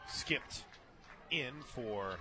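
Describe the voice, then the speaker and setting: An American man doing live radio play-by-play of a softball game, chatting between pitches. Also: lively intonation, extremely slow. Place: stadium radio booth, open to outdoor noise. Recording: clear outdoor ballpark noise.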